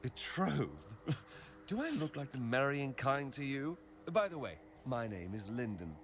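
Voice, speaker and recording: An actor playing a roguish young scoundrel in a video game, a glib, theatrical and wheedling smooth talker. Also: male voice, mid-range voice, sing-song, unhurried, music in the background. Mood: flippant. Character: roguish young male scoundrel, flippant smooth-talking charmer.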